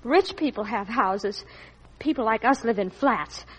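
An actress playing a dramatic, lovelorn teenage daughter in a 1940s radio drama. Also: female voice, on the high side, animated, unhurried, husky, long reverb tail, rough recording. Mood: indignant.

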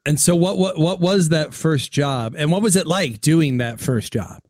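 A man's voice: a little high, moderate pace, darkish tone, dry recording, clean studio sound. A man hosting a casual interview, talking in a wry, chatty way with rambling questions. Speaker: interviewer, host.

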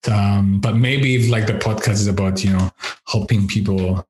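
Male guest, deep voice, slow pace, chuckles a lot, headset mic in an echoey room. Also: steady tone, darkish tone, slight background noise. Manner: laughs often, thinks aloud with fillers. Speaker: podcast guest, education researcher. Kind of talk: casual interview chat. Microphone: over-ear headset with boom mic.

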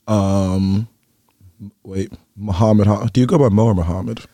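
A man's voice: fairly low pitch, steady tone, moderate pace, warm tone, no echo. A young man hosting a student podcast, asking his guest easygoing, chatty questions. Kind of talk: casual interview hosting.

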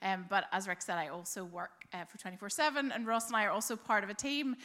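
A woman's voice: medium pitch, fairly even intonation, quick pace, sharp tone. A woman in her forties with a Northern Irish accent, a guest speaker at a church talking easily about her life, with many fillers. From Northern Ireland. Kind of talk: church talk interview.

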